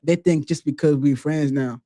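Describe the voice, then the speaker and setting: A man hosting a podcast, chatting casually in a relaxed, slangy way and often saying "bro". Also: fairly high pitch, normal speed, no room sound. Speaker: podcast host.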